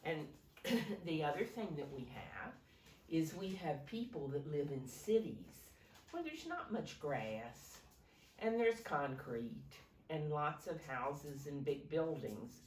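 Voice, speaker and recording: Slow, low-pitched woman reading to preschoolers. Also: big pitch swings, darkish tone. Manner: simple, instructive, often questioning the listeners. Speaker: preschool teacher reading aloud. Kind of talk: storytime reading and simple explaining for preschoolers. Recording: roomy, distant mic, faint noise.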